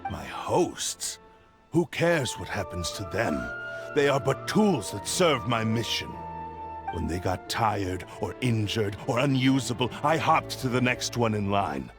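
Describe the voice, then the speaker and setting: An actor voicing an arrogant, menacing cursed blade possessing a man's body, speaking haughtily and declaiming threats in a gravelly voice. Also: on the low side, lively intonation, unhurried, music in the background. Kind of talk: game voice acting. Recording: game soundtrack under voice.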